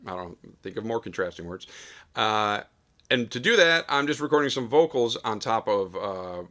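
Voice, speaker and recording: A man recording a casual vlog in his home studio, talking into a studio mic with a big, loud voice and rambling with lots of fillers. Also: lowish voice, expressive, normal speed. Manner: rambling, self-deprecating, full of fillers. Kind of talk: casual vlog talk between sung takes. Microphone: studio dynamic mic.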